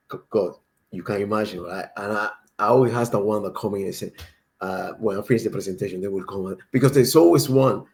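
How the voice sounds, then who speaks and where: A man's voice: fairly low pitch, a little mellow. A man from Cuba, a native Spanish speaker with a strong Cuban accent, chatting casually as a panel speaker.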